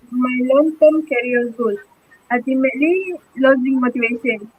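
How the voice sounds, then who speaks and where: Malaysian woman in her twenties, high pitch, hesitant reader, phone-quality audio. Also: slight background noise. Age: 20s. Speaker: student interviewee. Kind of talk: reading prepared answers. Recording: tinny phone-like line.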